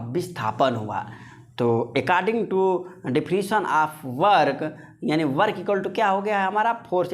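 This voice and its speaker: A man giving an informal physics lesson, repeating phrases and posing questions that he answers himself, addressing listeners as friends. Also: on the high side. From India. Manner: conversational, repeats phrases, poses and answers his own questions. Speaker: physics teacher.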